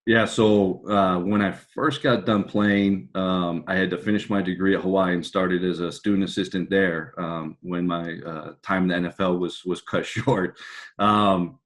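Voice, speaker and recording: An American man speaking as a football coach, answering interview questions in a casual, reflective and halting way. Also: low voice, steady tone. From California, United States.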